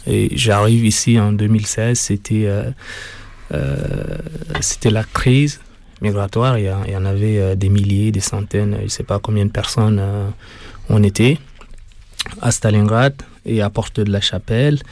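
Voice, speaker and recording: Soft-spoken man in his thirties, earnest. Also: lowish voice, steady tone. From Sudan. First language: Arabic. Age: 30s. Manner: slow, hesitant, earnest, many pauses. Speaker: author and guest. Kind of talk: radio interview.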